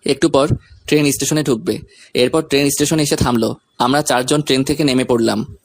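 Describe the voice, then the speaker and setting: A man's voice: medium pitch, steady tone, fairly quick. A man reading a scripted first-person story, narrating events and speaking the lead character's lines.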